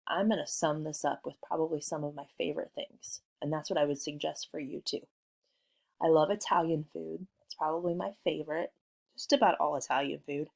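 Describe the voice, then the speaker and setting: Low-voiced woman teacher, easygoing. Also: brisk, creaky. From Missouri, USA. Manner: friendly, relaxed, with frequent ums. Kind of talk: recorded remote lesson. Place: working from home.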